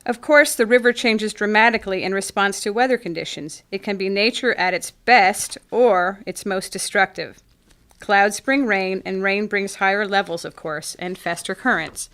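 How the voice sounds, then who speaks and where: A woman acting in community radio theatre as a gracious small-town café owner who describes things in measured, poetic phrases. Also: mid-range voice, fairly bright tone, clean tone, close to an everyday voice. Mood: reflective.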